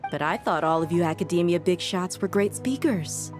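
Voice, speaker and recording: Actress doing adult female mercenary, deep for a woman, brash, casual, expressive, confident, anime game character, music underneath. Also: normal speed, natural voice. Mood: exasperated. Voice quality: low, confident. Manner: informal, blunt, expressive.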